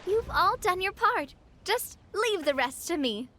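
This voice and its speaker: A woman's voice: extremely high pitch, very animated, unhurried, sharp tone, slight background noise, heavily acted. An actress playing a cheerful, gracious young woman, a hospitable and modest leader, in an anime-style video game. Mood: upbeat.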